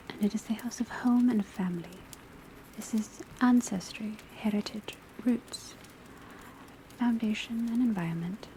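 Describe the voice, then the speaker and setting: A woman playing a calm, studious witch academy professor, lecturing in a soft, breathy, mostly whispered ASMR style with measured, deliberate delivery. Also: mid-range voice, slight background noise, lightly acted, poor audio. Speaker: teacher lecturing a class. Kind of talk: ASMR classroom roleplay, soft-spoken lecture. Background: faint patter of rain on rooftop.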